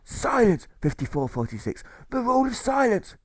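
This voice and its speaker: A man voicing a gruff, volatile old sailor turned jailer in an audiobook, with a stage pirate accent and blustering, exclamatory delivery. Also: extremely high pitch, very animated, normal speed, dry recording, pitched above the performer's own voice, full character voice. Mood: barking.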